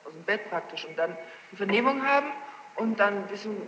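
A woman's voice: mid-range voice, expressive, a little fast, fairly bright tone. A young woman giving courtroom testimony as a witness, defensive and insistent, often repeating herself. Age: young adult. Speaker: witness under questioning. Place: large reverberant courtroom. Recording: muffled, noisy archival courtroom tape, echoing hall.

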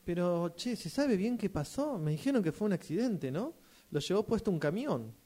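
Un hombre con acento argentino que interpreta en un radioteatro a un amigo preocupado, informal y algo titubeante. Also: male voice, high voice, big pitch swings, dark tone. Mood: concerned, curious. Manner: informal, halting. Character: concerned male friend offering condolences and asking questions. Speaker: radio drama workshop participant. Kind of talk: rehearsed radio drama acting.

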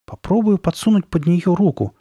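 A man's voice: a little high, big pitch swings, moderate pace, warm tone, slightly rough, no room sound, lo-fi sound, voice pushed up. Man voicing a worried, coaxing young girl. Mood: careful, thinking aloud. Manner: coaxing, puzzled, growing fearful. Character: worried, careful girl soothing her friend. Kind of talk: dramatised horror storybook reading.

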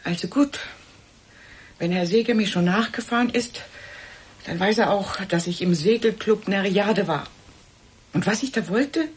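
An actress in a German radio play voicing a cornered, defensive woman, indignant and evasive, with a husky voice. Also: mid-range voice, unhurried, long pauses. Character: cornered, defensive woman hiding her weekend whereabouts.

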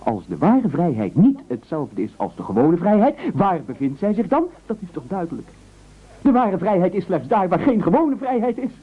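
A meek, earnest would-be martyr in a radio play, his voice rather high and husky. He stammers, then swells into self-important oratory with big swings of pitch. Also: very animated, moderate pace, heavily acted. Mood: pompous, oratorical. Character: meek, eager man nobly volunteering to be eaten. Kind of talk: absurdist radio play acting. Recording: muffled old radio broadcast recording.

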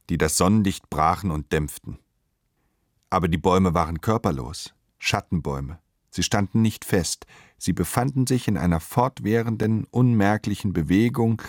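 Slow, very low-voiced male narrator. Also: no room sound, studio quality. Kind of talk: literary radio play narration.

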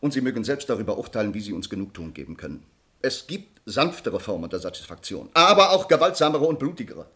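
A man's voice: on the low side, very animated, fast, bright, forceful tone. Earnest nobleman with sweeping delivery. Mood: solemn, impassioned. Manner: formal, eloquent, earnest. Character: honor-bound young nobleman, grateful, earnest, passionately restrained. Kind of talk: German radio play acting of classic drama.

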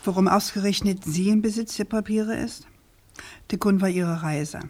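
An actress playing a persistent, composed investigative journalist in a radio crime drama, probing and articulate. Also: female voice, medium pitch, moderate pace, darkish tone, close to an everyday voice.

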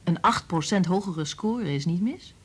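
A woman playing a troubled, evasive married woman in a Dutch radio drama, hesitant and defensive, with a husky voice. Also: lowish voice, expressive, a little slow. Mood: friendly, slightly flustered.

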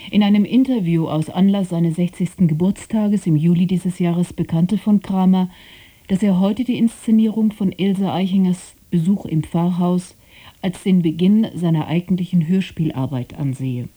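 A woman reading a scripted introduction to a radio play as its presenter, in a measured, formal manner. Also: fairly low pitch, warm tone. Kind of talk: scripted narration.

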